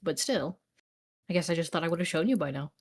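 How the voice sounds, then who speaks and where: A woman's voice: fairly low pitch, brisk, a little mellow. A woman from Canada chatting casually on a livestream. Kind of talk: casual chat.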